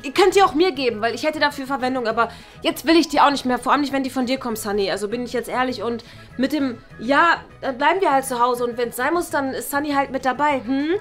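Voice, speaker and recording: A young woman playing an indignant, sulky roommate who feels slighted, talking in a halting, colloquial way in a web drama. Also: high-pitched, faint music behind, close to an everyday voice. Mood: grudging. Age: young adult. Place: living room indoors.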